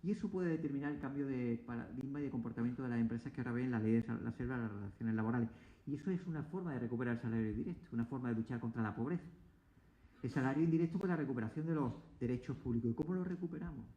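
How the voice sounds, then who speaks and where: A man's voice: medium pitch, racing, mellow, roomy sound, dull audio. A man talking politics as a guest, emphatic, piling up points and restarting words. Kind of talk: argumentative political talk. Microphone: table mic, asked to move it closer.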